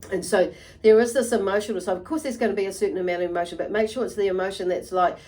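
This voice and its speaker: A woman giving an unscripted tarot reading to viewers, halting and meandering, often repeating and rephrasing herself as she goes. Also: mid-range voice, steady tone, warm tone. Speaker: tarot reader and channel host.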